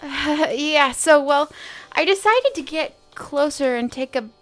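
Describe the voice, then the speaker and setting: Young female alien student, high and bright, eager, nervous over-explainer for comedy audio drama. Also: lively intonation, measured pace, faint music behind. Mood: nervous, explaining. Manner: hesitant, rambling, apologetic.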